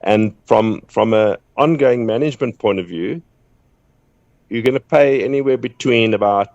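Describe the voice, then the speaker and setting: Croaky-voiced man on a muffled phone line. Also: lowish voice, darkish tone, no echo. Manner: measured, explanatory, repeats words when starting a point. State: hoarse from flu. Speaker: guest property agent. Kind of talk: phone-in radio interview.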